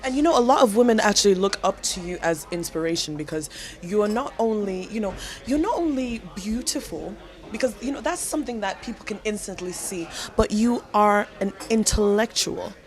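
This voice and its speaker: A woman's voice: mid-range voice, lively intonation, brisk. A woman with a mid-range voice interviews a guest in a conversational style. She is affirming and complimentary as she frames her questions and remarks.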